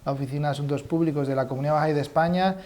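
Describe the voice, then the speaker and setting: A male host opens the program and puts questions to his guest. His voice sits fairly high for a man. He speaks formally but often fills pauses and repeats words. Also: fairly even intonation, darkish tone. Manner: formal, with frequent fillers and repetitions. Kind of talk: interview hosting.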